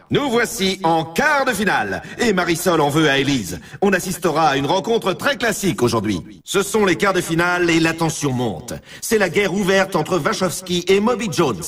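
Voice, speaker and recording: An actor playing an excitable male snowboard race announcer in a video game, dramatic and emphatic like a showman. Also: high-pitched, slightly rough, far from the mic, heavily acted. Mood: tense, dramatic. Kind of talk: sports game commentary.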